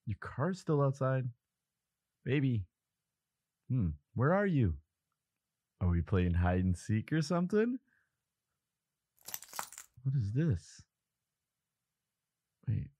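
A devoted, fiercely protective young lover, acted by a man in a dramatic audio roleplay. He speaks slowly and emotively, in a husky voice that moves widely in pitch. His delivery halts and breaks with feeling. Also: dark tone, no echo, heavily acted. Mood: puzzled, uneasy. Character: devoted boyfriend of a vampire, protective, frantic, defiant.

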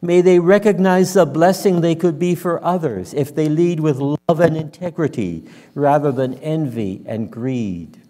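Male minister, 60s, solemn sermon delivery, unhurried, slightly high-set voice, recorded in a resounding church. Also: dark tone. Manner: measured, solemn, deliberate phrasing. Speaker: minister leading worship. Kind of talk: sermon and public prayer. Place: church sanctuary, at the front and pulpit. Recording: reverberant church hall.

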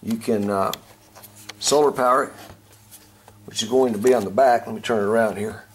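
A man hosting a casual hands-on product review, talking in a folksy, informal, halting way. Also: fairly low pitch, fairly even intonation. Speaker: host of a product review video channel. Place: at a tabletop, later out in a backyard. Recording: clear background noise, home camera recording.

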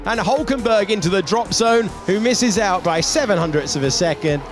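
A man giving excited motorsport race commentary as the commentator of a broadcast. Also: high voice, moderate pace. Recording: loud music behind commentary. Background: music and racing car engines.

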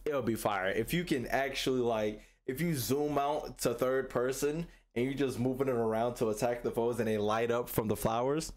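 A man's voice: mid-range voice, moderate pace, few pauses. A young man giving casual reaction commentary in slangy speech, repeating phrases in bursts. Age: young adult. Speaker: reaction video host. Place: small room indoors at a streaming setup. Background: music from the trailers he watches.

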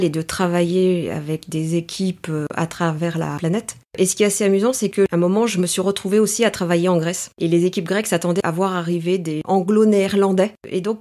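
A middle-aged woman with a lowish voice talks through her career in a podcast interview. She is calm and measured, with many small hesitations. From France. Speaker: coach, guest.

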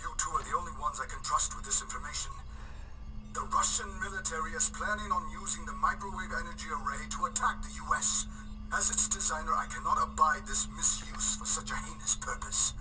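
A solemn scientist pleading over a transmission in a video game. The voice is fairly high and deliberate, distant from the mic, with music behind it. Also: male voice. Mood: grave pleading. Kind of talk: video game cutscene acting.

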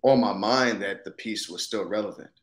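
Actor, male, thirties, American. Lowish voice, informal, searching delivery, recorded in a reverberant room. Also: moderate pace. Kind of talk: casual conversation. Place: indoors, on a video call. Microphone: video call. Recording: echoey room on video call.

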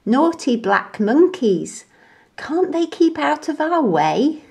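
A playful female monkey from a children's storybook, teasing and exclaiming, performed by a woman reader. Her voice is pushed high and moves up and down a lot at an unhurried pace. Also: sing-song, gravelly, mellow, full character voice. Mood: startled.

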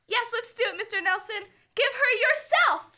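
A woman's voice: very high-pitched, normal speed, sharp tone, full character voice. A young woman playing a dreamy, gushing, romantic girl in an old-time radio comedy. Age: teens to young adult. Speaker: student actor. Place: school library room, staged performance. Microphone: stand microphone on stage.

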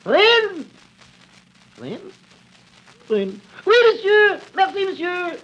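Fussy french hotel manager, squeaky high voice, thick french accent, all exclamations. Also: male voice, sing-song, very slow, a bit bright, lo-fi sound, heavily acted. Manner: theatrical, exclamatory, broken English.

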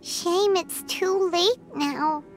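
A woman voicing a small childlike fairy companion in a video game, squeaky, earnest and openly expressive. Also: extremely slow, exaggerated voice. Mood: wistful. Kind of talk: scripted video game dialogue. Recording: loud music behind the voice.

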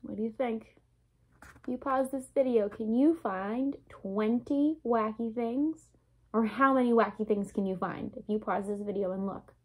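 A woman in her twenties reading a picture book aloud to young children, expressive and speaking to them directly. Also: on the high side, a little slow, slightly rough, poor audio. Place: small room indoors.

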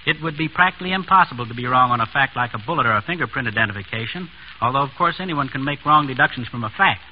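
A man's voice: medium pitch, expressive, rapid-fire. A middle-aged man giving formal, scripted answers as an expert guest in a vintage radio interview. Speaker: physician criminologist, interview guest. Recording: dull, noisy vintage radio recording.

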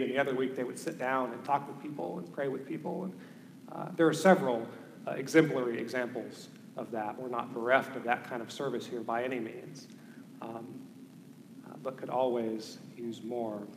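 A man's voice: mid-range voice, steady tone, normal speed, gravelly, slight background noise. A man in his thirties with a Southern American accent, a priest giving an earnest sermon, hesitant, with many ums. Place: large church sanctuary. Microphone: far camera. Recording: echoey church from far off.

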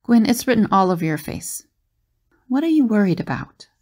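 A calm, perceptive woman acted by a woman in an audio drama. She sounds composed and curious, with a mid-range voice that creaks noticeably. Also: medium pitch, normal speed. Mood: concerned, probing.

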